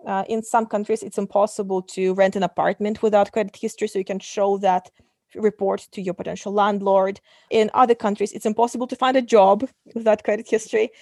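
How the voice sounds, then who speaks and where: A woman's voice: medium pitch, creaky, a little mellow, dry recording, studio quality. A young adult woman from Kazakhstan, a startup CEO answering interview questions and explaining step by step with frequent ums and uhs.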